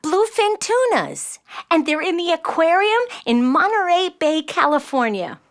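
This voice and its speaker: A spirited nine-year-old girl in a children's audio drama. Her voice is high and swings widely in pitch, with slow, careful delivery. She is eager, earnest and chatty, fond of explaining facts. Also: sing-song, a bit bright, exaggerated voice. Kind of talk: children's audio play acting.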